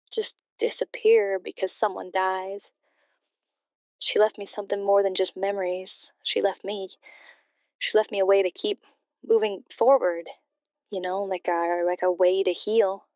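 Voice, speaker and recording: Wistful grieving daughter calling a radio show played by a woman: halting, sincere, smooth voice, slightly dull dry sound. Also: medium pitch, no echo, natural voice. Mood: bittersweet, tender. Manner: hesitant, halting, reflective. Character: bereaved daughter, wistful and sincere, confiding a ghostly story. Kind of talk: scripted audio drama, emotional personal storytelling.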